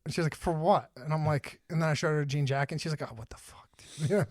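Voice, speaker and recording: Man, fairly high voice, blunt joking podcast host, casual banter, dry close recording. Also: fast talker, dry recording. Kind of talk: casual chat.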